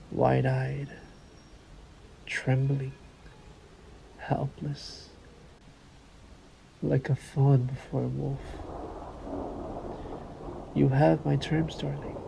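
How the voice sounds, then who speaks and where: Ancient male vampire played by a man for roleplay drama: dark, mellow, unhurried, coldly seductive, sadistic, faint storm noise behind. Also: medium pitch, vocal fry, heavily acted, low-quality recording. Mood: commanding. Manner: slow, silky menace, formal and condescending endearments. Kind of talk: scripted roleplay audio drama monologue.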